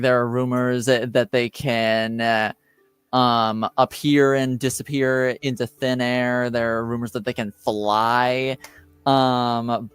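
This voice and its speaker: Man, game master, slow halting narration, smooth clear voice, faint music behind, tabletop fantasy lore. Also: mid-range voice, no room sound.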